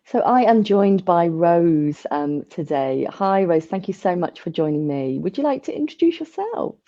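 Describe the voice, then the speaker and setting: A woman's voice: fairly low pitch, big pitch swings, moderate pace, no room sound, muffled recording. A woman hosting a podcast interview, chatty and full of filler words. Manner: chatty, many ums, warm welcoming asides. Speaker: podcast host.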